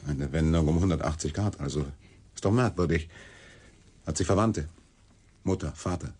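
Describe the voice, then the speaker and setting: An actor playing a dogged newspaper reporter in a radio drama, asking terse, skeptical questions in with a husky voice. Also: male voice, rumbling low, moderate pace, darkish tone. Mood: probing. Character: dogged male newspaper reporter chasing a scandal.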